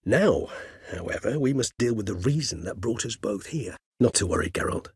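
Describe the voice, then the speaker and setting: An actor playing an erudite, courteous older male vampire in a video game, speaking in formal, eloquent, measured phrases with a husky voice. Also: fairly low pitch, animated, dry recording, clean studio sound, heavily acted. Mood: courteous, composed.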